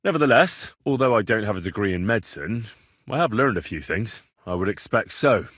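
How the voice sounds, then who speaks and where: A young medieval vagabond posing as a learned healer in a video game. His voice is slightly low and husky, with very animated pitch. He speaks with earnest, deferential formality, close and dry. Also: no room sound. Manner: deferential, measured, formal address. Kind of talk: scripted video game dialogue.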